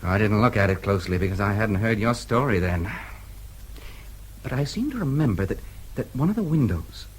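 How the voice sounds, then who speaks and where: Radio drama: male actor as keen, shrewd reporter, lowish voice, swooping intonation, faint ambient noise. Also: expressive, moderate pace, natural voice. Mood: excited, musing. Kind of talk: British radio drama acting.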